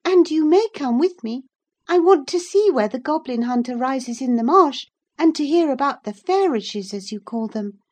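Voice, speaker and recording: A woman voicing a spoiled, imperious young girl in an audiobook, petulant and quick to take offence. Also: sits high, expressive, a little mellow, pitched above the performer's own voice. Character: haughty, indignant young girl, spoiled and tearful. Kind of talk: audiobook character voice.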